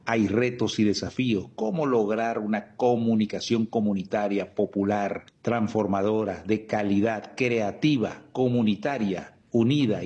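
A man's voice: fairly low pitch, darkish tone, dull audio. A man from Venezuela, delivering a recorded greeting message as a radio contributor. He speaks in an upbeat way, asking rhetorical questions and running through long lists.

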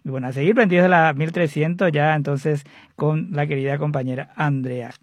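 A man hosting live radio. He explains things in a relaxed way and uses frequent fillers. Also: fairly high pitch, a little fast, no room sound. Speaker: radio host.

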